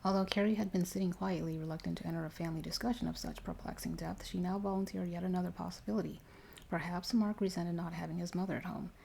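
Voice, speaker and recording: A woman reading a story aloud in ASMR style, soft-spoken and drifting into whispers. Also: fairly low pitch, steady tone, fast, gravelly, slight background noise, low-quality recording. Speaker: reader of paranormal stories for ASMR.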